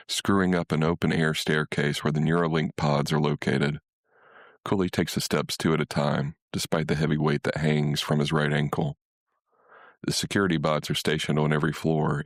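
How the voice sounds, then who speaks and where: A man's voice: rumbling low, dry recording, studio quality. A man reading a literary short story as scripted narration.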